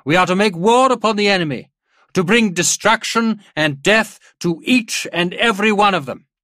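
A proud older general, acted by a male narrator, projects as if across a parade ground. His voice is raised well up in pitch and delivered as rousing, declamatory oratory in the manner of a war audiobook. The sound is close and dry. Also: animated, moderate pace, bright, forceful tone, no room sound. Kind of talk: dramatised audiobook character voice.

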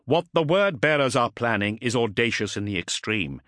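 A man voicing a stern, resolute warrior captain in an audiobook, speaking formally with measured, emphatic oratory. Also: medium pitch, expressive, a bit bright, dry recording, clean studio sound, pitched above the performer's own voice, natural voice. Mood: grave, resolute.

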